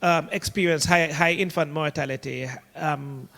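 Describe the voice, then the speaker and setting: A man speaking as a panelist in an online academic discussion over a video call, measured and analytical. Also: a little high, gravelly, fairly bright tone, long reverb tail.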